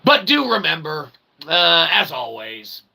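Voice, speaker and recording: A man's voice: a little high, wildly swooping pitch, unhurried, gravelly, bright, forceful tone. A man hosting a show in a rambling, jokey, theatrical way with mock-formal wordy phrasing. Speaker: show host and author. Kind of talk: rambling show sign-off addressed to audience.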